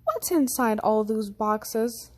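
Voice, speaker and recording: Woman as an eager, high-pitched little tiger boy. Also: lively intonation, slow. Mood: curious, excited. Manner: wondering, eager, childlike.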